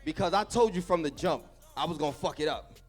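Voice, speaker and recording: A man's voice: a little high, fairly quick. A man hosting a live comedy show, hyping the crowd in loose slang. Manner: loud, slangy crowd hype. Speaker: show host. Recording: music playing behind.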